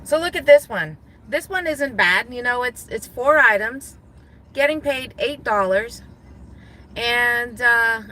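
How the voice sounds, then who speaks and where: A woman from Guam chatting casually to viewers from her car, thinking out loud and laughing easily. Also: on the high side, slight background noise, rough recording. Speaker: gig delivery driver vlogger. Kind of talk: casual talking to viewers while driving. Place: inside a parked car.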